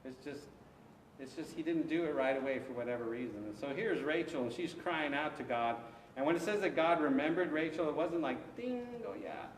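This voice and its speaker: A man's voice: on the high side, expressive, a little fast, slight background noise. A male pastor leading an interactive Bible study, talking in a conversational way, taking questions and acting out little asides. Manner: conversational, takes questions, frequent restarts and acted asides. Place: church sanctuary. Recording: echoey church hall from far off.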